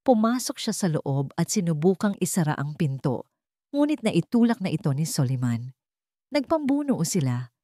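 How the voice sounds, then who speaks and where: A woman with a Filipino accent narrating a dramatic story in a measured, suspenseful storytelling manner. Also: lowish voice, very animated, warm tone, no echo, clean studio sound. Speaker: audiobook narrator.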